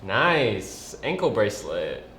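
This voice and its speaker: Actor as animated, anxious young writer. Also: male voice, on the low side, takes it slowly. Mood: dryly sarcastic. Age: young adult. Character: anxious young male aspiring writer, defensive and dryly sarcastic. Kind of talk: acted dialogue in a comedy-drama web series. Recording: roomy sound with faint background noise.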